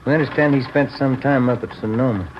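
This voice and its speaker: An actor in 1950s radio drama playing a police detective partner who asks polite, businesslike questions. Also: male voice, medium pitch, steady tone, fast talker, lightly acted. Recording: muffled old radio broadcast with hiss.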